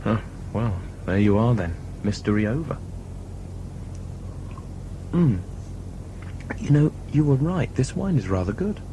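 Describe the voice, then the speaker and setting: A man's voice: mid-range voice, sing-song, unhurried, long pauses, dark tone, slight background noise, low-quality recording. A man playing a wry, sceptical traveller with a sardonic, offhand manner in an audio drama.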